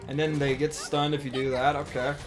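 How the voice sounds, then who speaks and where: A man streaming a video game, giving casual, expressive live commentary with some swearing. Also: medium pitch, fairly quick, over music. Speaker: gaming streamer.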